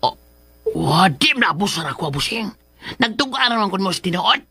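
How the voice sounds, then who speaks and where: An actor with a Filipino accent playing a villain's exasperated, chiding sidekick in a radio drama, with a thin, sharp voice. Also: male voice, high-pitched, big pitch swings, normal speed, husky, no echo, exaggerated voice. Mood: grumbling. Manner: chiding, grumbling.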